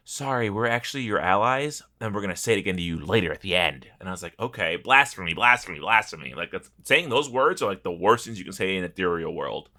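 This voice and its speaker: A man's voice: lowish voice, bright, forceful tone. A man co-hosting a podcast in casual banter, jokey and sweary, acting out mock quotes as he goes. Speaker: podcast co-host.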